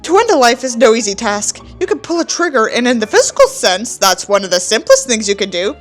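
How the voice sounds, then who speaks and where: A pompous, nihilistic teenage boy reading out his journal, performed by a woman. The voice is high and swoops theatrically, full of contempt and self-importance. Soft music runs underneath. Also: sing-song, fairly quick. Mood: bleak, pompous.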